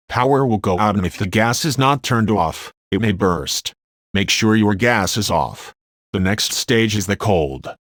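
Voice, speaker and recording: A man's voice: lowish voice, dry recording, studio quality, lightly acted. A man playing a sombre weather service expert, giving plain, grave survival instructions in a scripted radio drama. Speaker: radio show guest expert.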